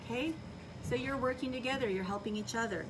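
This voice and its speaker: A woman teaching young children in a classroom, giving clear directions and checking understanding with short questions. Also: a little high, fast talker. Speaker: elementary school teacher. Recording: distant mic in noisy classroom.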